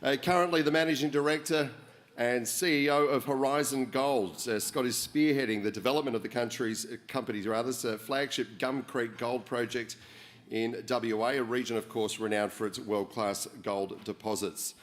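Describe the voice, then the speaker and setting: A man hosting an awards dinner, introducing a guest and interviewing him on stage, with relaxed speech full of "uh" fillers. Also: medium pitch, normal speed. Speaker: event host and interviewer. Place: function hall at a dinner event.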